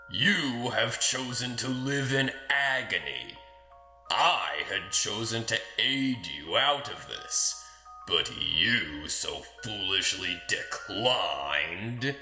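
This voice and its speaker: A man playing a malicious, taunting lord in an audio drama, with a theatrical, sly and menacing delivery and drawn-out phrasing. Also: mid-range voice, bright, forceful tone, roomy sound, full character voice. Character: malicious, taunting lord haunting a woman's dreams.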